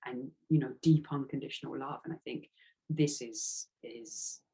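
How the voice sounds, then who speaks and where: A woman's voice: very deep voice, fairly even intonation, unhurried, warm tone, rough recording. A woman giving a reflective interview as an author, speaking earnestly and tentatively and circling around her phrases.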